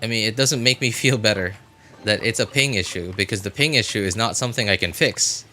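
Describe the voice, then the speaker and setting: Bright-voiced man rambling on a livestream. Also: lowish voice, brisk, soft background music. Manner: rambling, repeats and reworks phrases. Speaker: game livestream host. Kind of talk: casual live stream chat.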